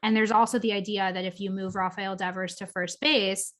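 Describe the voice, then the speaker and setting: A woman's voice: medium pitch, fairly even intonation, fairly quick, fairly bright tone. A woman co-hosting a podcast, talking sports in a casual, opinionated way with plenty of filler words. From Boston area. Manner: chatty, opinionated, lots of "like" and "um". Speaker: podcast co-host.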